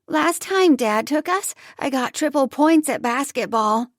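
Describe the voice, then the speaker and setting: A woman doing excited little girls. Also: measured pace, no echo, clean studio sound. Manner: excited, high-pitched. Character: eager little girls, young sisters.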